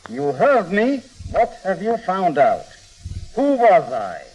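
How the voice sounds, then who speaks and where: A man's voice: high voice, wildly swooping pitch, slow, darkish tone, muffled recording, heavily acted. An actor playing an imperious, suspicious and mysterious rich man in an old radio drama, curt and demanding. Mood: impatient. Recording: old radio recording with hiss.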